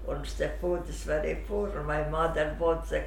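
An older man whose first language is Russian, recalling his past in halting English with many restarts. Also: a little high, level tone, vocal fry. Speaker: interviewee. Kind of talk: oral history recollection. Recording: echoey room, faint background hum.

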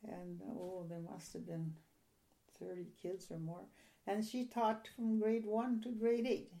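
An older woman from a Ukrainian-speaking home, reminiscing in an oral history interview in a soft, halting, rambling way. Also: mid-range voice, flat delivery, gravelly.

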